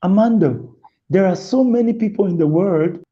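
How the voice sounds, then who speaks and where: A man hosting a live motivational talk show, speaking slowly and deliberately. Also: sits high, muffled recording. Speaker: host.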